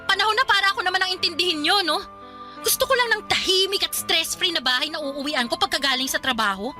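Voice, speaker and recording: Fed up older sister in filipino radio drama, super high voice, talks fast, scolding. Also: female voice, pushed and bright, soft background music, heavily acted. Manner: scolding, indignant.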